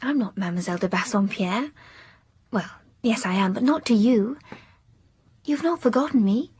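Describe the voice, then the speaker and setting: A woman playing a poised, wilful young English gentlewoman in a period radio drama, speaking precisely and with self-assurance. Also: mid-range voice, lively intonation, moderate pace, husky, dry recording. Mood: earnest.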